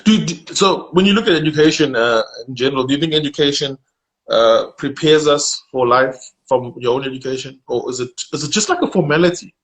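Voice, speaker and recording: A man's voice: on the high side, brisk, fairly bright tone, poor audio. A man hosting a casual interview, asking thoughtful, probing questions. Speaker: podcast host.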